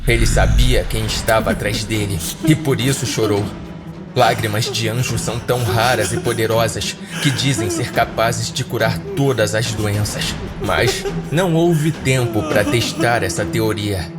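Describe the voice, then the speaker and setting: A man's voice: lowish voice, a little slow. A man with a Brazilian accent giving dramatic scripted narration as a horror podcast narrator. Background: music.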